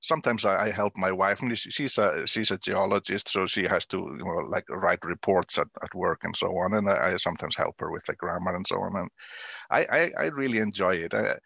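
Low male voice, Icelandic accent, native Icelandic speaker, fifties, halting and repetitive phrasing, conversational, dry close sound. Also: vocal fry, bright, forceful tone, breathy edge, no echo, dull audio. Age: 50s. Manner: halting, often repeating words. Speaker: interview guest, university dean. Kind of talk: conversational radio discussion.

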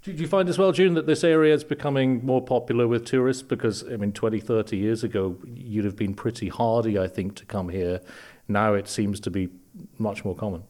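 A man hosting an interview podcast, asking friendly, unhurried questions and often restarting his sentences. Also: lowish voice, a little mellow. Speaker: podcast host. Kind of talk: conversational interview.

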